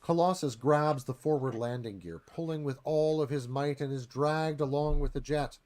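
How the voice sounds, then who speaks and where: A man's voice: on the high side, normal speed, darkish tone. A man narrating stage directions in a live dramatic screenplay read.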